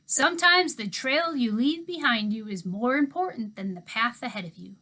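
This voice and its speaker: A woman's voice: on the high side, lively intonation, normal speed, pushed and bright, heavily acted, poor audio. A woman doing Mookie, a folksy old drifter. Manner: drawling, plainspoken country talk. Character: folksy old drifter man, easygoing and wise.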